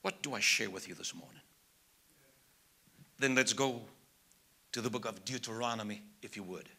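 Bright-voiced South African evangelist. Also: male voice, mid-range voice, moderate pace, slightly rough. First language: Afrikaans. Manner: builds from soft asides to loud preaching. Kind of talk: sermon. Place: church stage at a pulpit. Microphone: pulpit mic, medium camera.

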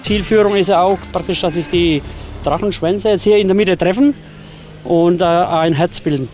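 A man's voice: high voice, moderate pace, darkish tone, faint music behind. A carver explaining his work in an outdoor interview, hesitant with fillers. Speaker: chainsaw carver. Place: outdoors at a woodcarving site.

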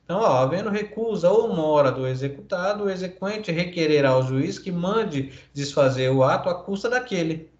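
A fairly high-voiced man with a Brazilian Portuguese accent lectures as a law professor on a video call. He reads legal text and then explains it with lots of fillers and tag questions. The audio is roomy and dull, like a lo-fi call recording. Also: lively intonation, normal speed, few pauses, darkish tone. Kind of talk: online lecture reading and explaining legal articles.

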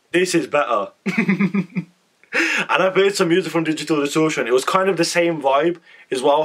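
Hoarse, excitable young man. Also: a little high. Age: young adult. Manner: excitable, giggly, lots of "like". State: hoarse, voice strained from screaming. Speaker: music reaction vlogger. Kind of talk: casual reaction chat. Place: small bedroom, indoors. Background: song playing quietly.

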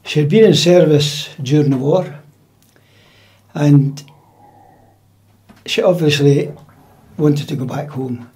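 A Scottish man in his seventies, recalling his working life in a measured, matter-of-fact way during a relaxed interview in a dining room at home. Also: a little high. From Scotland. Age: 70s. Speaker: interview guest, retired drummer. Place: dining room in a private house.

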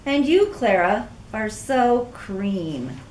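A woman's voice: medium pitch, sing-song, extremely slow, darkish tone, roomy sound, a little hiss or hum. A woman voicing a conceited, catty female caterpillar in a storybook, scornful and full of complaints. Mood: sneering.

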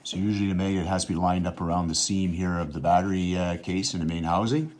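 Deep-voiced man explaining a workbench project. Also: steady tone, fast, darkish tone, slight background noise. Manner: plain, matter-of-fact explaining with fillers. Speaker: outdoors channel host. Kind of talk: casual how-to demonstration. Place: indoors at a workbench.

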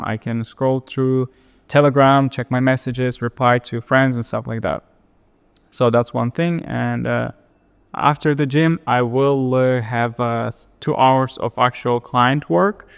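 A young man from Ukraine with a Ukrainian accent, calmly explaining his daily routine in a relaxed screen-share tutorial with fillers. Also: mid-range voice, clear, resonant tone, big-room sound. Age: young adult. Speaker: web designer and YouTuber. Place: indoors by a large window.

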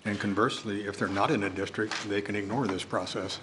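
An American man speaking as a council member at a formal meeting, measured and tentative. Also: fairly low pitch, fairly even intonation. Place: council chamber, seated at the dais. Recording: clearly noisy meeting-room recording.

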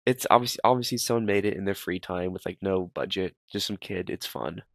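A man's voice: sits low, a little fast, vocal fry, fairly bright tone, no echo, clean studio sound. A young man giving casual, rambling reaction commentary as a host, often repeating his phrases. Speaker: host ranking horror videos.

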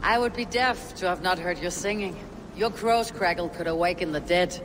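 Casting: male actor as loyal Norse raider for a game, high-pitched for a man, firm, blunt, noisy ambience behind. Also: normal speed, close to an everyday voice. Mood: concerned. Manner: firm, direct. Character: resolute, blunt Viking warrior, loyal friend. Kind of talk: video game cutscene acting.

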